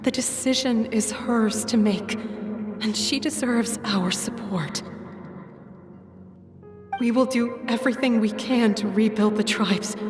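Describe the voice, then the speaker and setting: A woman playing a gentle, dignified mother in a video game, speaking in a soft, tender, measured way. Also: fairly high pitch. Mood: reassuring. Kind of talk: dramatic video game voice acting. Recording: echoey, distant, music behind.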